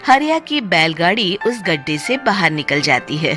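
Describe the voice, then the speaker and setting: A woman's voice: fairly low pitch, very animated, normal speed, bright, forceful tone, soft background music. A woman narrating a scripted horror folk tale as a storyteller.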